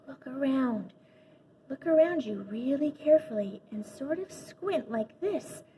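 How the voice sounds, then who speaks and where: A woman's voice: sits high, expressive, unhurried, dark tone, pitched above the performer's own voice. A woman reading a children's book, voicing an imaginative schoolkid who earnestly and mysteriously bluffs through a class speech. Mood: hushed, mysterious. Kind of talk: children's storybook read-aloud. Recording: dull lo-fi audio with faint noise.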